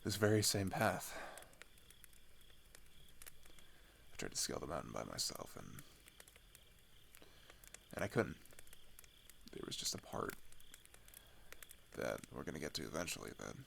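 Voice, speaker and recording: A man playing a weary, hesitant teenage refugee in an intimate ASMR audio drama, speaking in a halting, confiding way that often drops toward a whisper. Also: very animated, fairly bright tone, a little hiss or hum, full character voice. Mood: wistful, subdued. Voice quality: low, gravelly with vocal fry.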